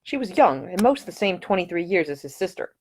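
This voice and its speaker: A woman narrating a story as an author reading their own novel, in a dramatic, expressive audiobook style. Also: lowish voice, a little fast, husky.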